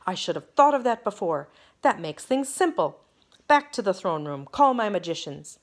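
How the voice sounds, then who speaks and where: A woman's voice: mid-range voice, very animated, moderate pace. A woman voicing an impatient, bossy king who blusters and gives orders. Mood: relieved. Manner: blustering, commanding.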